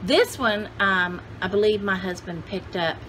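A woman's voice: mid-range voice, measured pace, fairly bright tone, a little hiss or hum, poor audio. A woman in her sixties chatting casually as a vlog host, with a folksy, jokey, rambling manner. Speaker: host of a vintage haul video channel. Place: indoor home studio room with shelves.

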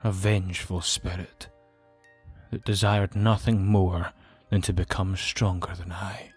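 A man's voice: deep voice, level tone, a little slow, darkish tone, slightly rough, soft background music. A man playing a grave, injured nobleman in an audio drama, speaking formally and solemnly. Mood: solemn, regretful.

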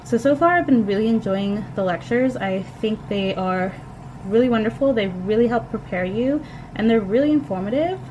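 A woman's voice: on the high side, lively intonation, normal speed, a little mellow, a little hiss or hum, poor audio. A young woman in her twenties giving a casual, upbeat vlog update to the camera from a small dorm room. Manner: upbeat, chatty, says "really" often. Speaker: vlogger, new English teacher.

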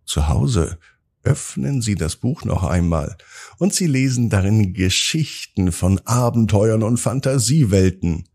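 Slow, gravelly man telling a bedtime story. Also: fairly low pitch, animated, darkish tone. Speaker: children's podcast host and storyteller. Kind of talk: scripted bedtime story narration for children.

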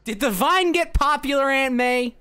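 A man's voice: very high voice, normal speed, pushed and bright. A man playing an anguished, dim young male superhero in an improvised parody game dub, overdramatic and flustered. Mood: hurt, bewildered. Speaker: voice actor in a comedy fan dub. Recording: music playing behind the voice.

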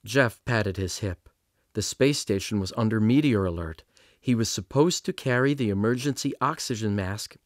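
A man's voice: fairly low pitch, moderate pace, dry recording. Middle-aged man narrating, dry studio sound. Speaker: audiobook narrator. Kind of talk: scripted storybook narration. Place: small padded recording studio. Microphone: studio condenser microphone on boom.

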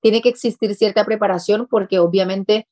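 A woman's voice: mid-range voice, fairly even intonation, moderate pace, mellow, no room sound. A woman in her thirties with a Puerto Rican accent, an interview guest speaking earnestly on a talk show. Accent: Puerto Rican Spanish. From Puerto Rico. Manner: earnest, speaks with emphasis and repetition. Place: small studio office set.

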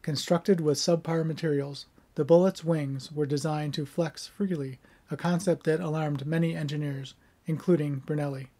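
A middle-aged man in a home room with bookshelves, speaking with a somewhat monotone delivery, first chatting casually, then reading scripted history narration. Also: fairly high pitch, moderate pace. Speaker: history video creator.

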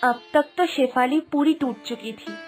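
A woman's voice: high voice, normal speed. A woman narrating a scripted children's moral story as a storyteller. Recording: Indian instrumental music behind.

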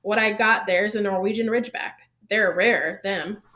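A woman's voice: medium pitch, moderate pace, fairly bright tone, close to an everyday voice. A woman reading the part of a big, friendly, proud male gamekeeper with a folksy, informal way of talking in a storybook. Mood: excited, proud. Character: big bearded gamekeeper, man, proud, friendly, easily flattered. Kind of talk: audiobook character reading.

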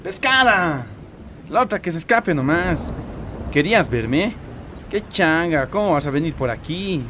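A man's voice: fairly high pitch, expressive, unhurried, bright, forceful tone. An actor with a Bolivian accent playing a pushy, teasing teenage boy in a radio drama. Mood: taunting, pushy. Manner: taunting, insistent. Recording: noisy outdoor street ambience.

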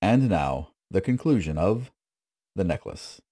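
Male radio-play announcer, deep, warm tone, animated delivery. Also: measured pace.

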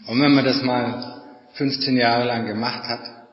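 A man's voice: medium pitch, steady tone, measured pace. A middle-aged man, a Buddhist monk, giving an informal dharma talk in a conversational way, repeating phrases for emphasis. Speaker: Buddhist monk and meditation teacher. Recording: echoey hall, long reverb, muffled sound.

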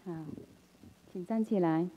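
A woman's voice: fairly low pitch, highs cut off, slight background noise. A woman emceeing a temple gathering, calling people up and leading the chanted visualization. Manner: brisk directing prompts, then slow liturgical chanting. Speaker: ceremony emcee.

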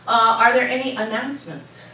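A woman's voice: on the high side, fairly quick, bright, forceful tone, slight background noise. An older woman giving chatty, emphatic announcements at a community meeting. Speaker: meeting organizer. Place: large meeting hall indoors. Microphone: handheld mic, recorded from far camera. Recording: echoey hall from far off.

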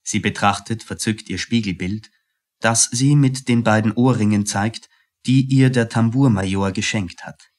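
Lowish-pitched male radio play narrator. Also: unhurried, a little mellow.

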